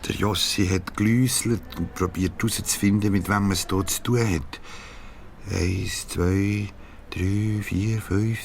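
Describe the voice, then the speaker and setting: A low-voiced man tells a children's adventure story in Swiss German. He speaks as a storyteller, with faint ambient noise behind him. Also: creaky, full character voice. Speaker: narrator.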